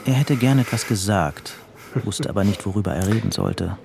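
A man's voice: lowish voice, normal speed, vocal fry, noisy background. A man narrating a scripted literary story as the narrator of an audio drama. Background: music.

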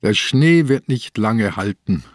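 A shrewd, ailing old commissioner in a radio drama. He speaks slowly in a lowish voice, terse and probing, with a dry studio sound. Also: lively intonation, no echo. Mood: wistful. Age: older.

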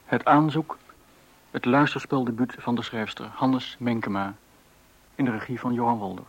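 Radio drama announcer, man, husky tone, formal scripted delivery, measured. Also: medium pitch, fairly even intonation, highs cut off. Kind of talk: scripted narration.

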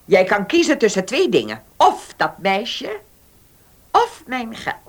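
A woman's voice: fairly high pitch, sing-song, takes it slowly, exaggerated voice. A woman playing a domineering, bitter old aunt in a Dutch radio play, scornful and commanding in the way she talks. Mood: stern, commanding. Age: older.

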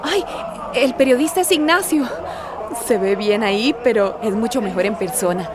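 An actress plays a warm middle-aged mother in a radio drama. Her voice sits a little high as she chats with her grown son. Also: lively intonation, normal speed, a crowd in the background, natural voice. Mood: smitten, coy.

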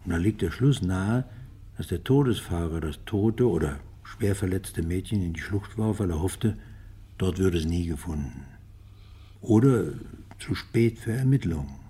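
An actor playing a skeptical police inspector in a radio crime drama, with a husky voice and a blunt, official manner. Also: male voice, low-pitched, lively intonation, measured pace, mellow, heavily acted. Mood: matter-of-fact. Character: gruff, skeptical male police homicide inspector.

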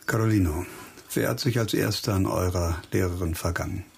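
An actor playing a grim, hard-bitten police investigator in a radio crime drama, speaking insistently and probingly, with music behind him. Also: male voice, low-pitched, a little fast, vocal fry.

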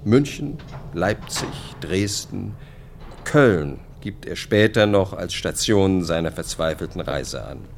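A man's voice: low-pitched, expressive, slow pace, vocal fry, slight background noise. A man narrating a scripted radio play in a documentary-like style.

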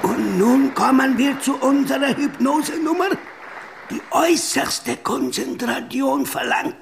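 A theatrical stage hypnotist for radio drama. He pitches his voice very high and announces slowly and emphatically, with a creaky edge, over faint music. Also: a crowd in the background, noisy background, exaggerated voice. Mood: showy, commanding. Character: showy male stage hypnotist calling out to audience. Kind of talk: German radio drama acting.

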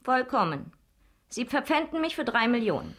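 An actress playing a proud, independent banker's daughter in a radio drama, self-assured and pointed. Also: female voice, a little high, very animated, moderate pace, a bit bright. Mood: cool, mocking.

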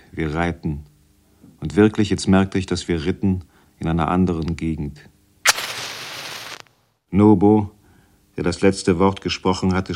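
Very deep-voiced man reading literary prose. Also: a little mellow. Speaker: reader and narrator. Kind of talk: scripted literary radio narration.

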